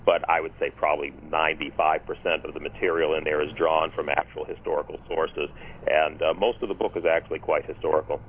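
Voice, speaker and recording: A man's voice: extremely low pitch, little pitch movement, a little fast, a little hiss or hum. A male author being interviewed on radio, speaking in thoughtful, discursive sentences with frequent restarts and fillers. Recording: tinny phone line.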